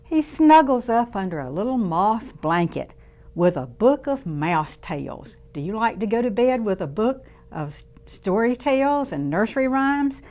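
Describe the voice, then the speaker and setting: Female children's storytime reader. Unhurried, expressive, sing-song delivery with plenty of pitch movement. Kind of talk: read-aloud storytime for young children.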